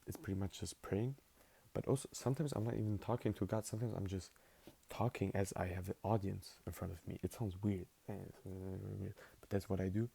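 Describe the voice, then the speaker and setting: A low, gravelly-voiced young man of eighteen, talking loosely to the camera like he's journaling out loud. His tone is dark and mellow, and he drifts along with plenty of "like" and "um" in English, which isn't his first language. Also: steady tone, brisk. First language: not English. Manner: rambling, filler-heavy, laid-back. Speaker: vlogger. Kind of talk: casual spoken journaling monologue.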